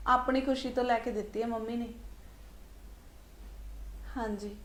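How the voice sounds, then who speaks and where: A woman's voice: fairly high pitch, fairly even intonation, unhurried, a little hiss or hum, natural voice. A woman with a Punjabi accent playing a proud, bossy elder daughter-in-law in a family drama. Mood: chatty, pleased. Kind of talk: colloquial domestic drama acting. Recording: roomy, echoing sound.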